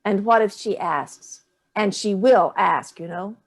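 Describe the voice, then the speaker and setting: A woman's voice: mid-range voice, measured pace. A middle-aged woman playing a sardonic, wounded older mother in a staged play reading, with clipped, cutting delivery. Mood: tense, probing.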